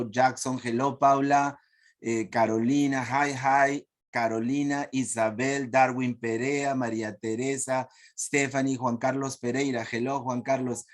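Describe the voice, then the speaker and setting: A man with a Peruvian accent hosting an online meeting over a video call, chatty and filling time while his screen loads. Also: mid-range voice. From Peru. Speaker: host of online teachers' meeting.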